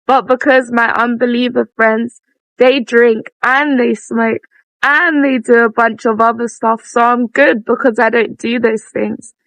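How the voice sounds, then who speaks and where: A young woman talks through her thoughts in a relaxed, reflective way and laughs at herself often. Her voice is high and sits on a gravelly fry. The sound is dry and close. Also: measured pace, dry recording, poor audio. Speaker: vlogger and podcast host. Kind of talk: casual reflective monologue.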